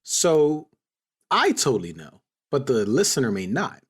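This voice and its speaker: A man co-hosting a podcast, chatting casually in an animated, questioning way. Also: mid-range voice, a little slow, breathy edge. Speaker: podcast co-host. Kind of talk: casual chat about a TV series.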